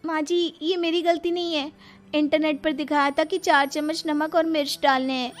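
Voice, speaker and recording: A woman's voice: high-pitched, little pitch movement, moderate pace, soft background music, voice pushed up, lightly acted. A woman voicing a boastful, easily flustered young city-bred daughter-in-law in a cartoon story, with a showy, whining manner. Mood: whining, defensive. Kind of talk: Hindi cartoon story character acting.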